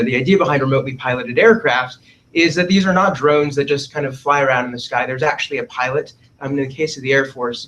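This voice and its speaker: A man's voice: mid-range voice, fairly bright tone, a little hiss or hum, rough recording. Casual young man explaining on a panel. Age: young adult. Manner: casual, repeats words and uses fillers.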